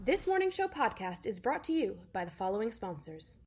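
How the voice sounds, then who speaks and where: A woman's voice: medium pitch, big pitch swings, brisk, a little mellow, slight background noise. A woman hosting a morning show, giving casual announcements with playful, upbeat banter. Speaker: camp morning show host.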